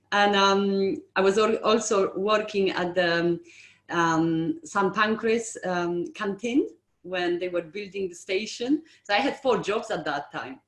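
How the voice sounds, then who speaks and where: Frank woman with a foreign accent, roomy sound. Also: fairly low pitch. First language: not English. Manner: open, frank, frequent ums and restarts. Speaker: estate agency owner, guest. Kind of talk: interview, telling her career story.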